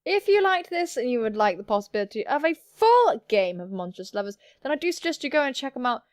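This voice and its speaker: A woman's voice: sits high, sing-song, quick pace, dry recording, studio quality. A woman hosting a gaming video, commenting playfully in a cheeky, sweary, theatrical manner. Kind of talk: playful let's-play commentary with read-out game narration.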